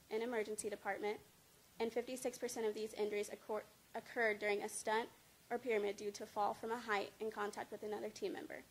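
A young woman with a fairly high voice delivers a prepared informative speech as a student. She reads it aloud from notes into a microphone on a stand. Noticeable background noise sits behind her words. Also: low-quality recording. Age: young adult. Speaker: student speaker. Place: indoor studio with green screen. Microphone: handheld-type mic on a stand at a lectern. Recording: clear background noise, room ambience.

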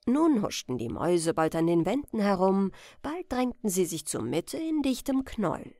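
A woman reading a bedtime fairy tale as an expressive, gentle audiobook narrator. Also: medium pitch, normal speed, no echo, studio quality. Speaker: storyteller, audiobook reader.

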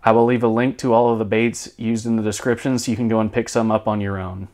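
A man's voice: fairly low pitch, level tone, quick pace, a little mellow. A man, chatting casually as a fishing vlogger. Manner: easygoing, chatty, folksy. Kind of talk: casual chat to camera.

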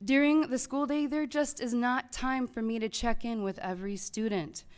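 A woman's voice: a little high, steady tone, normal speed, smooth. A woman in her thirties, a teacher reading a prepared public comment at a podium microphone in a large meeting hall, earnest and pleading. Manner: earnest, pleading, rising to loud emphasis.

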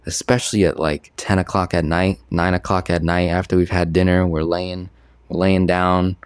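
A young man giving casual, energetic talking-head commentary on money into a studio boom mic, repeating himself and gesturing a lot. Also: normal speed, smooth, no echo. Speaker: personal finance creator. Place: small bright studio. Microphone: boom arm studio mic.

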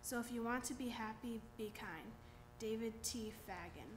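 Young woman reading quotes at church, kinda high voice, echoey with light noise. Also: moderate pace. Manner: reads quotes then names the author. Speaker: reader. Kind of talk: reading quotations aloud. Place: church sanctuary at a lectern.

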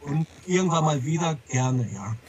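A man's voice: fairly high pitch, animated, moderate pace, darkish tone, husky, no echo, lo-fi sound. A man being interviewed as a publisher, chatting casually in a rambling way and often repeating himself. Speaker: comics and audio drama publisher, interview guest.

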